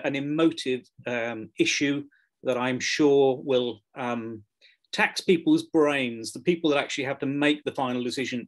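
Man, video call moderator, chatty, relaxed, lots of ums, medium pitch. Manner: relaxed, chatty wrap-up with many fillers. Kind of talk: moderating an online panel.

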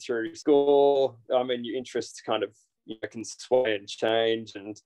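A man's voice: mid-range voice, measured pace, clear, resonant tone, poor audio. Australian man chatting on a video call. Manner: relaxed, rambling with frequent fillers and restarts. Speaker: guest, naturalist guide. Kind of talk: casual interview over video call. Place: small indoor room. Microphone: video call, built-in device mic.

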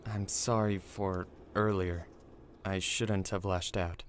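A man's voice: low-pitched, little pitch movement, slow pace, clear, resonant tone. An actor playing a moody, apologetic young man in an audio drama, subdued in manner. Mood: remorseful. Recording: long reverb, faint noise.

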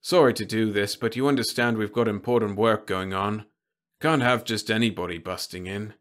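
A man voicing a polite, urbane male guard who speaks smoothly. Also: fairly low pitch, moderate pace, a bit bright, close to an everyday voice.

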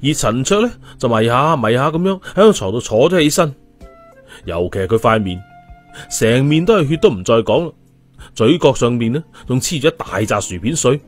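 A man narrating an audiobook story in a storytelling style. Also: mid-range voice.

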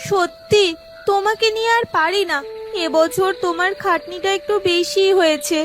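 A woman playing a caring farmer's wife in a village cartoon, homely and gently scolding. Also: very high-pitched, normal speed, music in the background. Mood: fondly chiding. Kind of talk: cartoon story dialogue.

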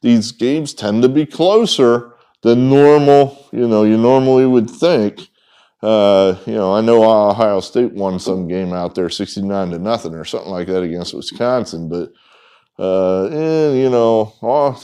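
A man's voice: fairly low pitch, warm tone, clean tone. A middle-aged man hosting a sports betting picks video, talking casually and rambling to the camera with lots of ums and asides. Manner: rambling, folksy, frequent ums and trailing asides. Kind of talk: casual off-the-cuff talk to camera. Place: indoor room with wood-paneled walls, in front of a whiteboard.

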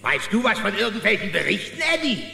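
An actor playing a pompous, greedy small-town sheriff who acts as judge in a children's cartoon radio play. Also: male voice, sits high, very animated, fairly quick, creaky, sharp tone, full character voice. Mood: sly, smug. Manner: pompous, domineering. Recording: echoey hall reverb, faint music.

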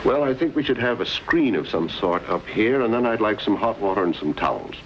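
A man plays a courteous middle-aged doctor in a 1950s western. His voice is fairly low and expressive, formal and genial, on a dull old soundtrack. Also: fairly quick, close to an everyday voice. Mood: professional. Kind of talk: 1950s television western acting. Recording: muffled, hissy old TV soundtrack.

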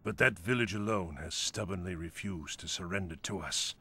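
An actor playing a stern, commanding elderly general in a video game, gravelly, husky, measured and authoritative. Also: male voice, deep voice, sharp tone, heavily acted. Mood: stern, resolute. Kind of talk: video game cutscene acting.

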